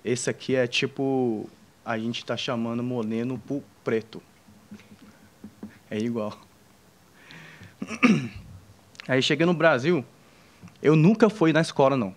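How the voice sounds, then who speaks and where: A young man from China speaks with a clear Chinese accent and a pitch right in the middle for a man. He tells his story informally to a crowd, in stops and starts, full of restarts and little jokes. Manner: halting, many fillers and restarts, jokes with the audience. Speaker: entrepreneur speaking on a panel. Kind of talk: informal personal story told to an audience.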